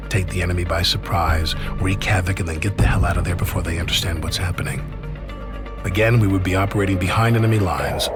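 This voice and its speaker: Male actor, veteran soldier narrating a war game: deep, measured, weary, loud score underneath. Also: natural voice. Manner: measured, matter-of-fact. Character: weary, reflective male soldier recounting past missions. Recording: loud music behind.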